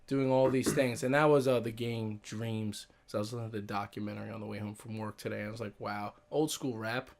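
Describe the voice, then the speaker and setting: A young man hosting a casual podcast chat, excitable and swearing freely, often turning to speak straight to his listeners. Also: lowish voice, fast, gravelly, few pauses. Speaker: podcast host.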